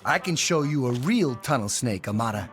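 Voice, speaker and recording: An actor playing a cocky teenage gang bully in a video game, taunting and swaggering. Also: male voice, mid-range voice, animated, moderate pace, a bit bright, soft background music, natural voice.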